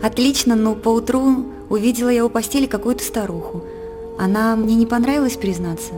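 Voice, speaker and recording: An actress playing a young, innocent princess, composed and gently reproachful, in a theatrical radio drama. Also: female voice, fairly high pitch, fairly quick, over music, lightly acted. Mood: polite composure.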